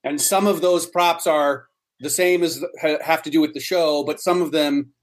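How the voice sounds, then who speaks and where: A man with a somewhat high-pitched voice speaks as an interview guest. His delivery is casual and explanatory, laying out details in everyday talk. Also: fairly even intonation, moderate pace.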